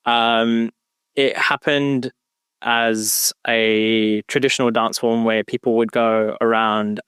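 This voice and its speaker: A young man, a student, talking in a casual discussion, hesitant with many pauses and fillers. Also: mid-range voice, fairly bright tone, clear, resonant tone, no echo, studio quality. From England. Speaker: student podcaster.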